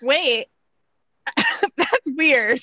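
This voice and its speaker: A woman's voice: high voice, very animated, very slow, sharp tone, dry recording, highs cut off. A woman co-hosting a podcast, chiming in with brief reactions. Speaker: podcast co-host. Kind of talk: casual chat.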